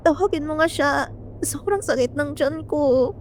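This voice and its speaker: A woman's voice: high voice, normal speed, noisy background, lightly acted. A woman with a Filipino accent playing an ailing, uncomplaining young daughter in pain, in an amateur radio drama. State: in pain, unwell.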